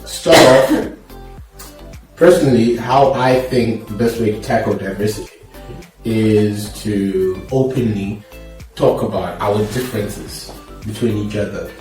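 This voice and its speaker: A young man with a fairly low voice leads a loose group chat. He jokes and thinks aloud as he talks. He is heard from a distance in a very echoey room, with music playing faintly underneath. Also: unhurried. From Zimbabwe. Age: young adult. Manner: relaxed, jokey, thinks aloud with fillers. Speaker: host. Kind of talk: casual panel chat.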